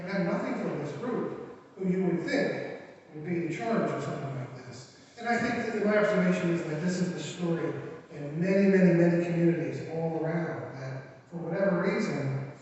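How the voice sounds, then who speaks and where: A man's voice: fairly high pitch, fairly quick, darkish tone, a little hiss or hum. Earnest man speaking far off in a hall. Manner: earnest, rhetorical questions, frequent "right?". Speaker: website administrator addressing a public meeting. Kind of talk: public speech at a meeting. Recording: roomy hall, distant mic, muffled.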